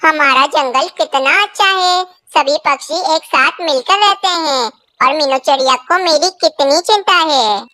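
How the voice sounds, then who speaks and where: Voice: high voice, unhurried, pushed and bright, dry recording. A performer voicing a sensible, good-natured male pigeon with a childlike voice, reassuring and plain-spoken, in a children's cartoon. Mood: contented. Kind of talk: children's animated story dialogue.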